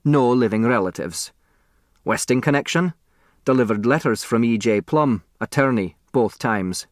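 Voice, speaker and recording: A man's voice: medium pitch, expressive, moderate pace, dry recording, lightly acted. A male reader plays an earnest doorman in a storybook. He speaks in plodding, clipped, folksy phrases, as if reporting from notes. The sound is dry and close. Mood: matter-of-fact.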